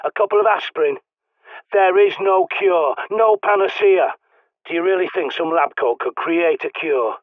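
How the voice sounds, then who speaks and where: A man's voice: high voice, normal speed, dry recording. A man voicing a blunt, sardonic male survivalist in a video game, with a British accent, guiding someone over the radio. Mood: outraged. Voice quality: gruff, sardonic, swears often. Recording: dry tinny radio-like line.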